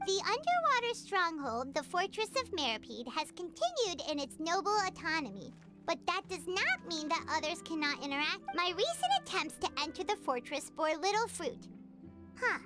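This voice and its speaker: A perky little fairy companion from a video game. The voice is extremely high, childlike and squeaky, swinging widely in pitch with cutesy exclamations. Music plays clearly behind it. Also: female voice, sing-song, moderate pace. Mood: curious, reciting. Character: tiny childlike female fairy companion, perky and cheeky, speaks in third person. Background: loud game music.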